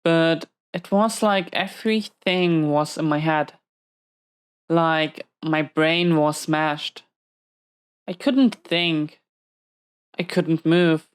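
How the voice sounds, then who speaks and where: A woman playing a battle-scarred prison inmate villain in an intimate audio roleplay, confiding in a halting, reflective, weary way. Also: low-pitched, clean tone. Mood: pained recollection. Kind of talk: slow intimate audio roleplay monologue to a listener.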